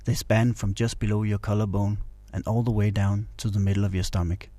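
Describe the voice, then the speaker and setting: A man guiding a meditation in a calm, soothing, instructional way. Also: fairly low pitch, brisk, dry recording, faint music behind. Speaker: meditation guide. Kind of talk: guided meditation narration.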